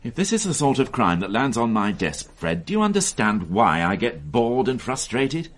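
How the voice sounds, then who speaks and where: An irritable police detective. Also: male voice, medium pitch, sing-song, normal speed. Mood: exasperated. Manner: exasperated, sharp-tongued, barking orders. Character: irritable senior police detective, middle-aged man. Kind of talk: radio drama acting.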